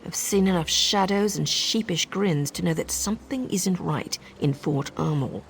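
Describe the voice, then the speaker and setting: Sly, creaky-voiced scheming woman. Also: on the low side, normal speed, low-quality recording. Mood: conspiratorial, wry. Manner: sardonic, conspiratorial. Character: sly, scheming female agent, wry and mischievous. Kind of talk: video game character dialogue. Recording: music behind the voice.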